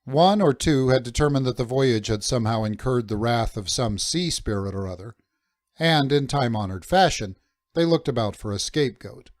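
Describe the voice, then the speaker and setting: Male narrator for first-person adventure fiction. Medium pitch, measured dramatic storytelling, dry room-free sound. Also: lively intonation, no echo. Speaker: audiobook narrator.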